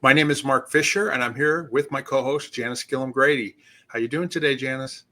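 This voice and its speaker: A male podcast host with a bright, forceful tone and a middle pitch for a man. He talks in an easygoing way and restarts phrases loosely as he moves through his questions. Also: steady tone, fairly quick. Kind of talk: casual interview.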